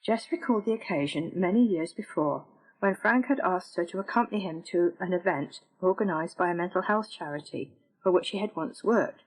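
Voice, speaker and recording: A woman author reading her own book aloud in a measured, even storytelling manner. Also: lowish voice, poor audio. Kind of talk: audiobook narration.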